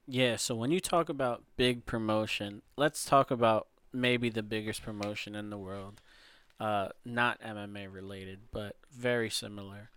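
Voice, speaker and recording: A man's voice: fairly low pitch, fairly even intonation, a bit bright, smooth. A man hosting a sports podcast, setting up topics and steering the conversation in a casual way. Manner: leads the talk, sets up topics, unhurried. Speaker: podcast host.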